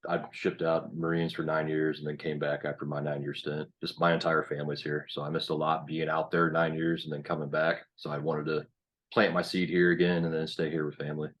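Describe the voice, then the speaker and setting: A man's voice: extremely low pitch, little pitch movement, a little fast, lo-fi sound. A man in his late thirties from Kansas hosting a podcast, talking in a laid-back, rambling way with lots of fillers. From Kansas, USA. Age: 30s. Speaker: podcast host. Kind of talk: casual podcast interview.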